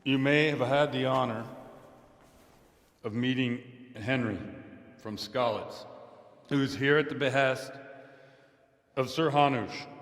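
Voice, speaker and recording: Man playing a slow, ceremonious medieval priest in echoing church. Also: medium pitch, a bit bright, natural voice. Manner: measured, ceremonious address. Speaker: priest introducing a guest preacher. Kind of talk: video game acting, sermon. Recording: echoey stone church hall.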